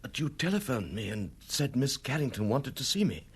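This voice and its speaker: A man's voice: mid-range voice, sing-song, normal speed, slightly rough. A man playing an injured film director in a radio drama, shaken and insistent, struggling to get his words out. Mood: agitated, insistent. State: weak, halting, struggling to speak.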